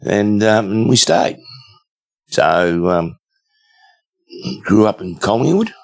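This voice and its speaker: A man in his seventies with an Australian accent, a guest telling stories in a relaxed, rambling way with many fillers. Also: lowish voice, slow, low-quality recording. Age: 70s. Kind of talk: casual storytelling interview.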